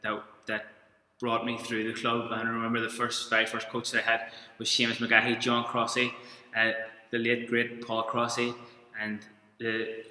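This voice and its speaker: A man in his thirties from Belfast with a Belfast accent, giving a coaching webinar in an informal, halting way with frequent restarts. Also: medium pitch, monotone, sharp tone. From Belfast, Northern Ireland. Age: 30s. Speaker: strength and conditioning coach. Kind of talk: webinar presentation. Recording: echoey room with long reverb.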